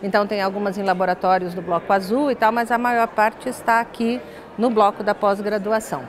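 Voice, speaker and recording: A woman's voice: medium pitch, fairly even intonation, a little fast. An older woman with a Brazilian accent, explaining things as a professor being interviewed, with frequent tag words. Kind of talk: studio interview, explanatory talk. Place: glass-walled indoor lounge set. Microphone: lapel.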